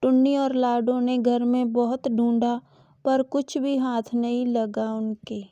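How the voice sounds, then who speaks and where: A woman narrating a scripted children's story in a measured, even storytelling manner. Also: a little high, dry recording, muffled recording.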